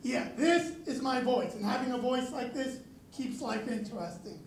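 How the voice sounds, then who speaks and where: A young man doing a self-mocking stand-up set with a very raspy, high voice, on a club stage. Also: normal speed, a little hiss or hum. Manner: self-mocking deadpan delivery. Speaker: stand-up comedian. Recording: echoey club with crowd. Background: audience laughter.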